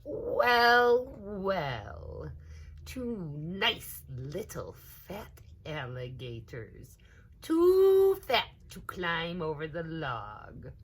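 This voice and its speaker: A woman voicing a big hungry male alligator in a storybook, coaxing in a drawn-out, falsely kindly manner. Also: fairly low pitch, wildly swooping pitch, breathy edge, voice pushed up, heavily acted. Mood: sly, coaxing.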